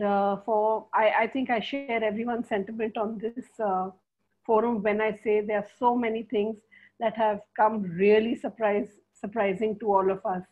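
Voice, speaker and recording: A woman moderating a webinar through a headset, polite and halting as she thanks her guest and asks a question. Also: fairly high pitch, a little mellow. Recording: tinny phone-like video call.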